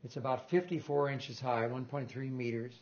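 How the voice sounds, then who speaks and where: A man's voice: mid-range voice, normal speed, highs cut off. An older man giving an informal technical talk in a lecture room, rambling and correcting himself with small chuckles. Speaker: guest lecturer on loudspeaker design.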